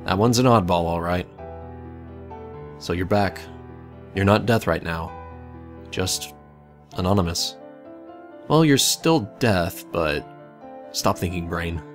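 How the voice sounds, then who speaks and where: A man narrates a fan fiction story in a low-pitched voice. He tells it dramatically, as a storyteller addressing the listener, while music plays clearly behind him. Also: lively intonation, slow, pauses often. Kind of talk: scripted narration of fan fiction in second person.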